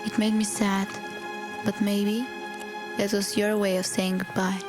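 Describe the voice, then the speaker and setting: A woman plays a grieving grown daughter in an animated short. Her mid-pitched voice stays flat and subdued, matter-of-fact yet wistful. Music is clearly present underneath. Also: unhurried, natural voice. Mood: sad. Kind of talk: scripted animated documentary narration.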